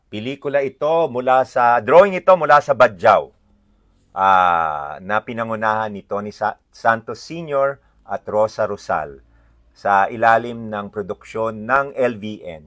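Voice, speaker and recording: A man with a Filipino accent presents a picture book in an informal read-aloud style. His voice sits on the low side. He moves through his commentary haltingly, often pausing to find the next word. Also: a bit bright.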